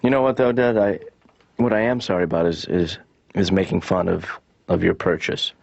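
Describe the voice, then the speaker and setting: A man playing a laid-back, casually dismissive grown son in improvised cartoon comedy, talking in a halting mumble. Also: low-pitched, steady tone, creaky, dry recording. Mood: sheepish, dismissive. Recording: dull, lo-fi audio.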